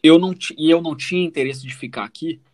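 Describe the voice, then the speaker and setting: A man's voice: on the high side, fast, a little mellow. A man with a Brazilian Portuguese accent telling his own story in a casual, informal way as a podcast co-host, often adding little check-in questions.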